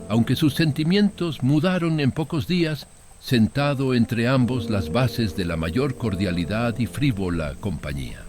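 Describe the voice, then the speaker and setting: A man narrating a literary horror tale in a measured, dramatic audiobook style. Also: fairly low pitch. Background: background music.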